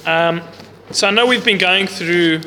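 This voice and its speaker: A man with a loud voice leading a Bible class without a microphone, talking casually and often putting questions to the group. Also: high voice, a little slow. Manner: loud voice, speaks without a mic. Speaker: Bible study teacher. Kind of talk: interactive Bible class teaching. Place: large room with a group, no microphone. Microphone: no mic on the speaker, recorder in the room. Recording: long hall reverb, faint background hiss.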